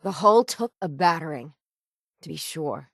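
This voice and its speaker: An actress playing a weathered, determined harbor seafarer in a video game, gruff and dutiful, with salty, old-fashioned folksy phrasing. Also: female voice, low voice, lively intonation, measured pace, fairly bright tone, dry recording, studio quality, full character voice. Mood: businesslike. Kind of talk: video game character dialogue.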